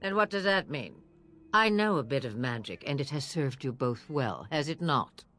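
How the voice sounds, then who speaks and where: A woman's voice: rumbling low, expressive, moderate pace, fairly bright tone, dry recording. An actress playing a cryptic, commanding old witch of the wilds in a video game, sardonic and archaic in her phrasing. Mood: wry. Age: older.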